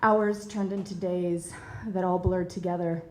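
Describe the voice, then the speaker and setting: A woman in her thirties from Bosnia and Herzegovina, telling a personal story in an earnest, measured way with soft hesitations. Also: fairly low pitch, fairly even intonation, reverberant. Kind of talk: personal story told to an audience.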